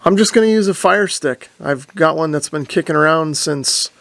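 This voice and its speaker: A man's voice: on the high side, normal speed, gravelly, fairly bright tone, no echo. A man hosting a casual, methodical product demo, explaining things step by step. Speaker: host of a radio review and demo video. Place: indoor radio shack.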